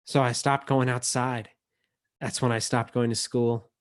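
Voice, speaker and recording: A man with a mid-pitched voice hosts a literary evening over a video call. He moves between casual remarks to the group and reading poetry aloud. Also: level tone, measured pace. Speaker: emcee and book editor. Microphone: video call microphone.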